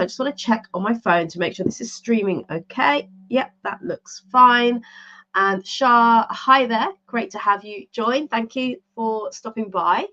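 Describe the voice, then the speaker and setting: A woman's voice: on the high side, fairly bright tone, dull audio. A woman coaching on a live stream, speaking straight to her viewers in an upbeat, structured way, a little stuffy from a mild cold. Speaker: leadership and career coach, live show host.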